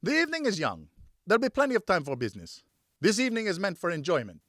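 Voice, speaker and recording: A man's voice: sits high, wildly swooping pitch, fast, fairly bright tone, no room sound, clean studio sound, voice pushed up, full character voice. A man voicing a jovial, wily Frenchman who makes cognac, coaxing and exclamatory, in an audiobook. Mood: playful, insistent. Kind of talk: audiobook character dialogue.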